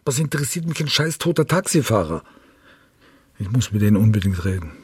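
Very husky, desperate searching father. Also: lowish voice, sing-song, fairly quick, heavily acted. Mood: desperate, pleading. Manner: insistent, pressing. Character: desperate, obsessive father searching for his kidnapped daughter. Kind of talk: German radio crime drama acting.

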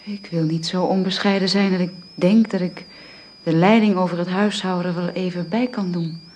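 An actress playing a modest, earnest twenty-one-year-old nanny in a Dutch radio drama, speaking politely and carefully. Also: female voice, on the low side, steady tone, moderate pace, a little mellow, close to an everyday voice. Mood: hesitant, polite. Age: young adult. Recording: faintly hissy old radio recording.

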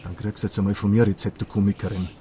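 An actor plays a bullying Bavarian policeman in a radio crime drama. He fires off curt, sneering threats at a fast pace. A faint rumble sits behind his voice. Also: flat delivery, dark tone, lightly acted. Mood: threatening, contemptuous. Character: hostile, bullying, contemptuous plainclothes policeman.

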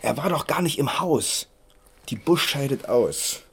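An actor playing a dry, smug, relentless police detective in a German radio crime drama, with an accusing, wry, deliberate delivery. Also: male voice, mid-range voice, lively intonation, a bit bright, slightly rough, heavily acted. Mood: cool, accusing.

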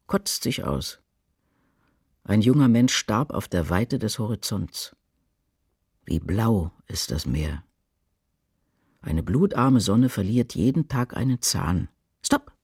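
A man performing dramatic poetry in a radio play as a grave witness of war, with intense, deliberate declamation. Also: lowish voice, big pitch swings, a little mellow, husky, no echo, studio quality, full character voice. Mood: stark. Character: grave male poetic witness of war and apocalypse.

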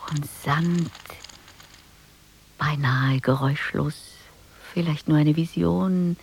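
An actress playing a wry, easily irked dreaming woman who narrates her dream in a hushed, deliberate radio-play monologue. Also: on the low side, gravelly, a little hiss or hum. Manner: hushed, deliberate, musing, with dramatic swings.

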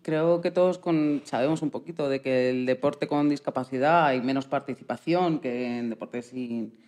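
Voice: medium pitch, moderate pace, darkish tone. An interviewer, talking conversationally and earnestly while asking questions and reflecting on answers. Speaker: radio show interviewer.

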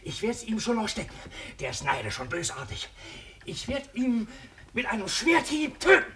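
A man's voice: high-pitched, huge pitch swings, moderate pace, noisy background. A man playing a cranky, eccentric old junk dealer in a radio play, fussy and agitated, often repeating himself. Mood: indignant, bitter. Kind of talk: German radio play acting.

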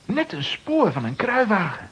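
A woman's voice: medium pitch, huge pitch swings, measured pace, slightly rough, heavily acted. A woman voicing a curious, spirited child adventurer in a children's radio play. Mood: eager. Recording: slightly dull, lo-fi recording.

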